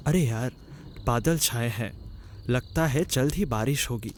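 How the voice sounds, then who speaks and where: A man's voice: mid-range voice, a little slow, a little mellow, slight background noise, close to an everyday voice. A man playing an uneasy, stranded young traveller in a cartoon story, fretful and grumbling. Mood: worried grumbling. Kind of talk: Hindi cartoon story acting.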